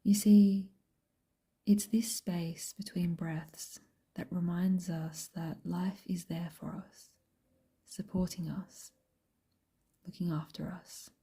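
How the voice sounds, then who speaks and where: A woman guiding a meditation in a soft, breathy whisper, speaking gently and unhurriedly with long pauses. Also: lowish voice, little pitch movement. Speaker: meditation guide and host. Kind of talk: slow guided meditation, soft whispered speech.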